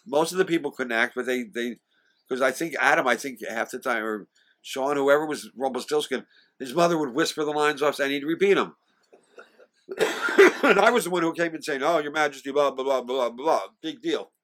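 A man's voice: medium pitch, fairly quick, a bit bright. A man in his sixties, an interview guest who sings and acts, reminiscing with occasional stutters and restarts and acting out the voices of people he quotes. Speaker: singer and actor, interview guest.

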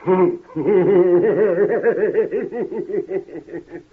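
A menacing male villain from an old radio mystery. He speaks slowly in a fairly high voice, gloating and giving orders, through a thin, tinny vintage recording. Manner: slow, taunting, commanding, with sinister chuckles. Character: menacing, gloating villain in a coffin, controlling his sister. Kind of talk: 1930s radio mystery acting.

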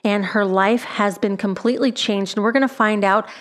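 A woman's voice: mid-range voice, steady tone, normal speed. A woman hosting a talk show, asking her guest encouraging questions. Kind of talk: interviewing a guest.

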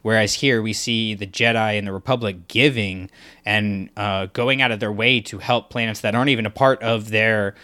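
A man's voice: fairly low pitch, sharp tone. A man co-hosting a podcast, talking in a loose, rambling way full of fillers. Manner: rambling, filler-heavy, enthusiastic. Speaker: podcast co-host. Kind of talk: casual discussion.

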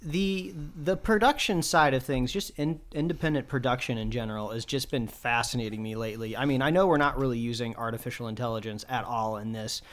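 Male podcast co-host, eager, quick and chatty. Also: medium pitch, a bit bright. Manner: quick and enthusiastic, lots of fillers. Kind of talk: casual podcast chat.

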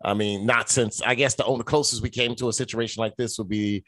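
A man's voice: mid-range voice, fairly even intonation, a little fast, fairly bright tone, dry recording. A man arguing bluntly in casual podcast chat, swearing freely.

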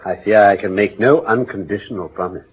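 An actor playing a brilliant, commanding English detective in classic radio drama, speaking with precise, formal, emphatic diction. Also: male voice, on the low side, fairly quick, slight background noise, close to an everyday voice. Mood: courteous, guarded. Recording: muffled old radio broadcast.

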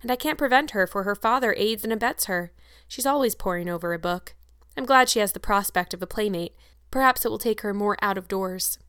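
A woman's voice: mid-range voice, fairly quick, a bit bright, smooth, dry recording, natural voice. A resolute, strict mother from a storybook, acted by a woman reader. She speaks cordially and matter-of-factly, close and dry. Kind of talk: audiobook character acting.

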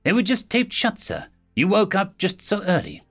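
Picture a stern, commanding alien head nurse, her voice pitched high and firm as she barks orders across a ward. A man acts her out, and he keeps her sounding urgent and in charge. Faint music plays under the storybook reading. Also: huge pitch swings, moderate pace, fairly bright tone, full character voice. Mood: caring.

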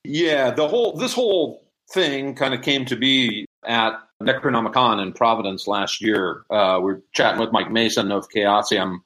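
A man's voice: medium pitch, moderate pace, few pauses, fairly bright tone, low-quality recording. A man speaking as an interview guest, explaining things in a casual, conversational way. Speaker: interview guest, audio drama producer.

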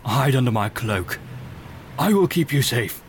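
A man playing a grave, protective wraith in a fantasy audio drama, with a breathy, husky, solemn voice. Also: mid-range voice, sing-song, a little slow, slight background noise.

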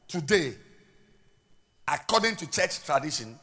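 A man with a Ghanaian accent preaching a sermon as pastor, swinging between loud declarations and quiet asides and repeating words as he restarts. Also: a little high, very animated, measured pace.

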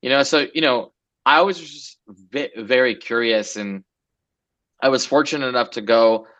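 A man's voice: medium pitch, normal speed, sharp tone, highs cut off. A man in his forties from Los Angeles, a guest entrepreneur chatting casually in an interview, loose and rambling with many fillers.

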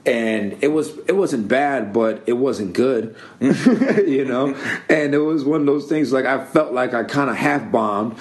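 A man who does stand-up comedy, chatting loosely on a podcast with a deep voice, swearing freely and rambling. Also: a little slow. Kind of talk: casual podcast chat.

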